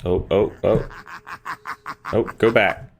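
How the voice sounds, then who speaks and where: A man's voice: lowish voice, steady tone, takes it slowly. A man hosting a gaming video, reading visual novel narration aloud and adding casual commentary.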